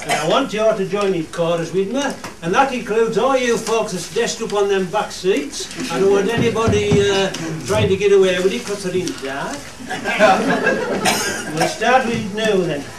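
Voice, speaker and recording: A man's voice: sits high, normal speed, close to an everyday voice. A man playing a droll rustic shepherd with a Cumbrian accent, bantering folksily in a dialect radio play. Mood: droll, folksy. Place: studio theatre. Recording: roomy theatre, distant mic, faint noise.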